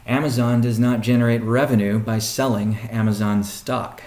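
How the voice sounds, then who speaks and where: A man speaking as a chief executive on a conference call, answering questions in a halting, deliberate way with pauses and restatements, in a roomy recording. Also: medium pitch, flat delivery, a little mellow. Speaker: company CEO hosting a weekly conference call. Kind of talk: question-and-answer business briefing. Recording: roomy, echoing sound.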